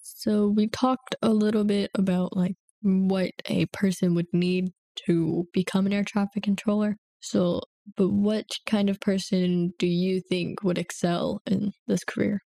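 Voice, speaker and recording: A woman's voice: medium pitch, level tone, measured pace, creaky, hardly any pauses, warm tone. A young woman hosting an interview podcast, speaking as the interviewer. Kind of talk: interview questions and scripted outro.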